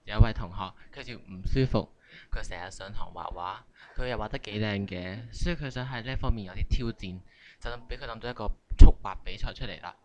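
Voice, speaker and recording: A man's voice: fairly low pitch, a little fast, few pauses, a little mellow. A man with a Hong Kong Cantonese accent hosting a comic radio skit in playful scripted narration. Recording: amateur recording with clear background noise.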